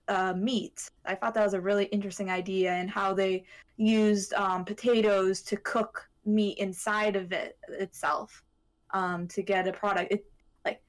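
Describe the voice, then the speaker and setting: A woman co-hosting a podcast, explaining her thoughts haltingly in casual discussion. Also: mid-range voice. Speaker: podcast co-host.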